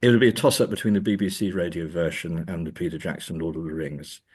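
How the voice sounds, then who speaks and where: A man's voice: deep voice, fairly even intonation, fast, a little mellow, husky. A man speaking as an academic interview guest, thoughtful and hesitant, often repeating words as he forms his ideas. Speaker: academic guest, professor and author. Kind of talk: conversational interview answers.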